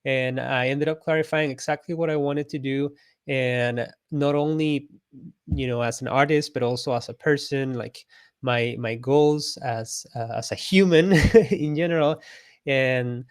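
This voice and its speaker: A man's voice: mid-range voice, unhurried, clean tone, no echo, clean studio sound. A man from Costa Rica, a guest on an interview show, telling his story in a friendly, reflective way and laughing often. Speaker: guest, illustrator and artist coach.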